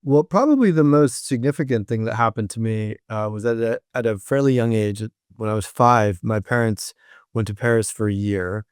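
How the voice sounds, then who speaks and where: Low-voiced thoughtful male professor. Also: moderate pace, darkish tone, clean tone, no echo, clean studio sound. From United States. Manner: thoughtful, with frequent ums and restarts. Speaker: professor and interview guest. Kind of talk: reflective interview answers.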